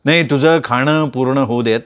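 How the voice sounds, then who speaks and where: A man voicing a shy, earnest young man in love, talking in a hesitant, low-key way in a read-aloud story. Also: moderate pace, lightly acted. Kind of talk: storytelling with voiced characters.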